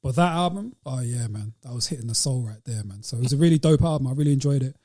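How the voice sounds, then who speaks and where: A man's voice: medium pitch, fast, mellow. A British man co-hosting a podcast, chatting casually with plenty of slang. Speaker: podcast co-host.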